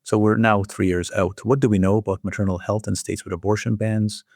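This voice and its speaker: Guy hosting an interview, fast talker, bit of fry in the voice, dry close sound, formal wording. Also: fairly low pitch, little pitch movement, darkish tone, no echo. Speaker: host and interviewer, journal editor. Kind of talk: scripted introduction and interview questions.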